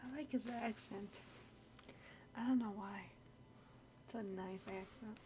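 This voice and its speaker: A woman's voice: mid-range voice, unhurried, warm tone. A woman streaming a game, reacting casually as she plays. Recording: noisy stream with game audio behind. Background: game audio.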